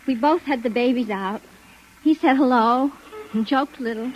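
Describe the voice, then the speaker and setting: An actress playing a shaken, insistent young city nursemaid in a 1950s radio drama. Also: female voice, a little high, normal speed, muffled recording, close to an everyday voice. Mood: distressed. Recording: noisy 1950s radio broadcast.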